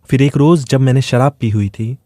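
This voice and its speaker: A man's voice: mid-range voice, normal speed, mellow, no room sound, studio quality. A man reading a scripted first-person dramatic story as its narrator.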